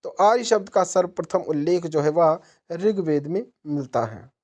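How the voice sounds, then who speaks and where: A woman's voice: lowish voice, expressive, normal speed, dark tone. A woman narrating a question-and-answer quiz lesson as an exam-prep teacher, methodically posing each question and then answering it.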